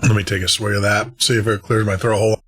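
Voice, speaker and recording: A man's voice: lowish voice, level tone, fast, a bit bright, breathy edge. A man hosting a late-night radio show and podcast, chatting casually, rambling and laughing at himself. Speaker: host.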